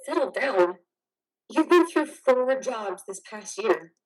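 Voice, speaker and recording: A woman's voice: fairly high pitch, very animated, slow, heavily acted. A woman in a reader's theater drama playing a caring, worried wife, soothing and pleading. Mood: concerned.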